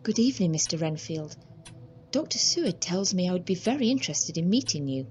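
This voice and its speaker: An actress playing a polite, curious married lady in a radio drama, formal and gently expressive. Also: fairly low pitch, brisk, lo-fi sound, lightly acted.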